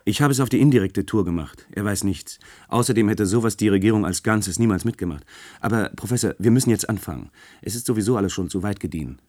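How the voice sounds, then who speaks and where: A man's voice: fairly low pitch, fast, a little mellow, natural voice. An actor playing a discreet male conspirator in a German science-fiction radio play, explaining and placating. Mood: placating, urgent.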